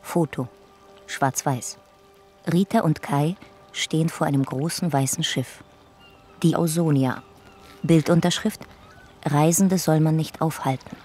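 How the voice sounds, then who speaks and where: A detached female narrator for a radio drama. Her voice is low and mellow, delivering scripted history in clipped, matter-of-fact phrases. Faint music plays underneath. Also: steady tone, measured pace, pauses often.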